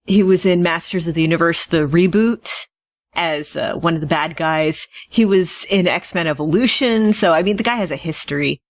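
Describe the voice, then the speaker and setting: A woman's voice: lowish voice, normal speed, dry recording. A woman co-hosting a podcast in casual conversation. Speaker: podcast co-host. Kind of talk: casual chat.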